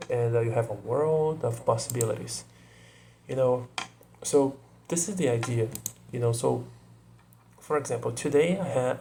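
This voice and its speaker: A young Brazilian man, native Portuguese speaker, talking casually to camera in English with a Brazilian accent, often pausing to search for words and adding "you know". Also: medium pitch, creaky, warm tone, a little hiss or hum. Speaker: video creator.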